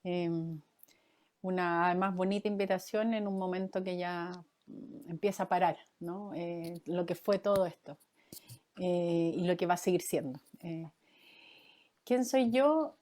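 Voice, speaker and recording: A woman in her fifties from Chile, a guest answering interview questions in a thoughtful way, with frequent pauses and fillers. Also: fairly low pitch, darkish tone. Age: 50s. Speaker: guest, former mayoral candidate and coach.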